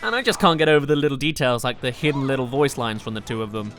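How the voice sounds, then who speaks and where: A man's voice: medium pitch, quick pace. A man narrating an enthusiastic scripted video game countdown in a gushing, informal way, as a reviewer. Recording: loud music behind the voice. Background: game soundtrack music.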